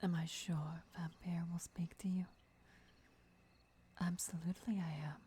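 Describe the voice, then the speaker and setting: A woman playing a devoted, gentle vampire mentor and lover in a breathy, whispered ASMR roleplay, instructing in a soothing way. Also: fairly low pitch, flat delivery, a little slow. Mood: solemn, encouraging.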